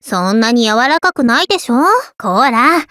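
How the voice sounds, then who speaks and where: Easygoing anime game schoolgirl, high lively voice, caring n a bit teasing. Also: normal speed, dry recording, clean studio sound. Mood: teasing, playful. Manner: caring, gently teasing, reassuring. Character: easygoing, affectionate teenage schoolgirl who loves the sea. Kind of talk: scripted anime game voice lines.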